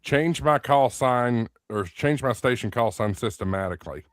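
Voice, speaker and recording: A man's voice: medium pitch, animated, normal speed, no echo, clean studio sound. A man hosting a ham radio talk show, explaining things in a matter-of-fact way. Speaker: host. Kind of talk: casual chat.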